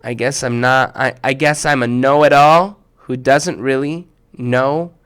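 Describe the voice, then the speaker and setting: A man's voice: medium pitch, fairly even intonation, unhurried, fairly bright tone, smooth, lightly acted. A man voicing a boastful schoolboy who gets flustered and stammers, reading it as a children's story. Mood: sheepish. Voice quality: stammering, hesitant when nervous.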